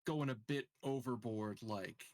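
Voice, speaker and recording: A man's voice: mid-range voice, a little slow, darkish tone, no echo, studio quality. A man chatting casually as a player in a tabletop game, loose and salty in his talk. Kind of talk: casual chat during a game.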